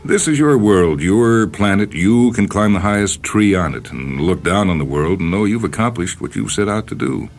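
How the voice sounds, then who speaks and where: A man's voice: lowish voice, animated, normal speed, few pauses, pitched above the performer's own voice. Man playing wistful stranded spaceman, voice raised. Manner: wistful, musing, talking gently to himself. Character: lonely stranded spaceman, wistful, talking to his animal friend. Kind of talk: radio story character voice. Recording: music bed under the voice.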